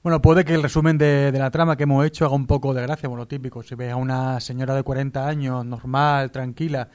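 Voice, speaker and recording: A man's voice: mid-range voice, steady tone, quick pace, a little mellow. A man with a Spain accent casually reviewing a TV series as a radio presenter.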